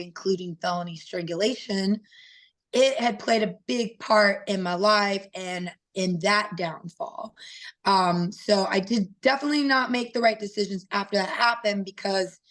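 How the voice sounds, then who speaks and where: Raspy-voiced woman, earnest and open. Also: mid-range voice, poor audio. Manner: open and earnest, with frequent fillers. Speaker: interview guest, professional fighter. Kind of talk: candid personal storytelling.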